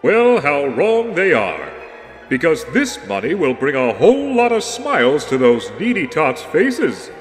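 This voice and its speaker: Wisecracking young superhero, male actor: very animated, unhurried, heroic and folksy, for a campy audio drama. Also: a little high, soft background music. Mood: humble, earnest.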